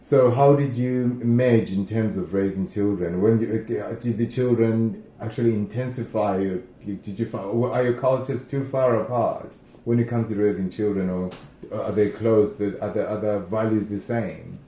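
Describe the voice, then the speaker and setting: A man co-hosting a podcast, asking questions in a halting way and repeating words. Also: on the low side, dark tone. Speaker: podcast co-host. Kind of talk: interview questions. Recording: echoey room, faint noise.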